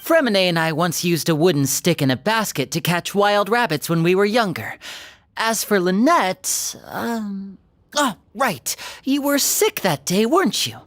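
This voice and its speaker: A performer voicing a confident, charming young male stage magician in a game, smooth and playful. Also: high-pitched, animated, unhurried, fairly bright tone, dry recording, studio quality. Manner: smooth, playful, self-assured.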